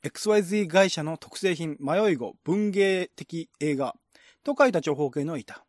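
Higher-voiced man narrating, dry sound. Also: lively intonation, normal speed, dry recording. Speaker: narrator, reader. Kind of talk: scripted narration, radio-drama style literary reading.

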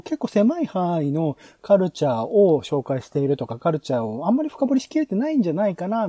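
A man with a fairly high, lively voice hosts a podcast planning discussion. His tone is soft-edged and a little husky, and he explains things haltingly, circling through asides as he goes. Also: no room sound. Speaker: podcast host.

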